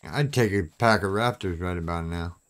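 A man's voice: deep voice, moderate pace, fairly bright tone. A man hosting a gaming channel, chatting casually to viewers as he plays, with something clogging his throat. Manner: chatty, talks to viewers while playing. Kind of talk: casual gameplay commentary.

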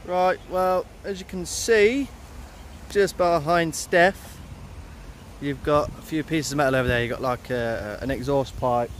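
A man's voice: a little high, unhurried. A man, chatting casually to camera as a vlog host outdoors. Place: outdoors on a riverbank. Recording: noisy outdoor camera audio.

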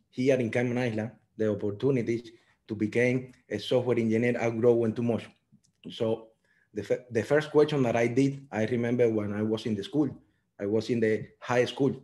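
A young man with a Cuban Spanish accent speaks in simple, earnest learner English, his voice dark and mellow. He is heard over a video call, mid-pitched and steady. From Cuba. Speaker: software engineer guest. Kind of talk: online panel talk.

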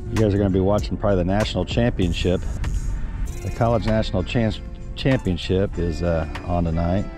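A man's voice: low voice, fairly quick, music in the background. A middle-aged man hosting a casual fishing vlog from a boat on a lake, upbeat and talking straight to his viewers. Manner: upbeat, talks straight to viewers, excitable when fish bite. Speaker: fishing guide and bait seller. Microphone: action camera on the boat.

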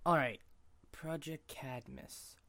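A man's voice: medium pitch, slow, creaky, a bit bright, dry recording. A man playing a cocky, impatient teenage boy superhero sidekick in a cartoon fandub, with snarky, quippy delivery. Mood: sly, curious.

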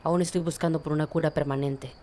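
A woman's voice: deep voice, fairly even intonation, quick pace, mellow, natural voice. A woman with a Latin American Spanish accent voicing an ancient, patient alicorn princess in a cartoon comic fan dub, speaking formally and solemnly. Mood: grave. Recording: music under the voice.

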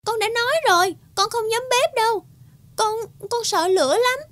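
A woman's voice: very high-pitched, moderate pace, fairly bright tone, no room sound. A woman voicing a whiny, self-centred young village girl in a cartoon folktale. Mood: whiny, stubborn. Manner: whiny, childlike.

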